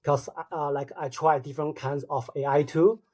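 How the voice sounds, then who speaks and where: A man with a Chinese accent, speaking as a guest on a podcast. His voice is mellow and a bit higher than usual for a man. He talks haltingly and often pauses on "uh.". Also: little pitch movement, slightly rough. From China. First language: Mandarin. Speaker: linguist guest.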